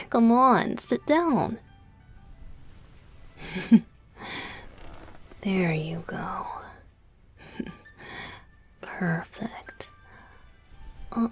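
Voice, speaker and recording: A woman playing a playful, affectionate young male idol boyfriend in an intimate ASMR roleplay, soft and often close to a whisper. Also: on the high side, lively intonation, husky, faint music behind, heavily acted. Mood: tender, teasing. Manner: teasing, coaxing, giggly, slow with pauses. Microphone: close studio microphone, dry.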